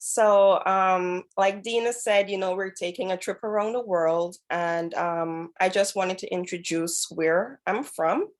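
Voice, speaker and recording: A woman's voice: medium pitch, a little slow, few pauses. A woman from Guyana, a dietetic intern, co-presenting a webinar in a hesitant way with many fillers.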